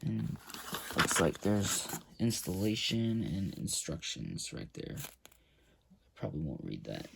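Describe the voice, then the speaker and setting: A man casually talking viewers through a hands-on gear install, relaxed and hesitant with frequent fillers. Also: low voice, slow pace, creaky, noisy background. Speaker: YouTube motorcycle gear reviewer. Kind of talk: casual step-by-step install walkthrough.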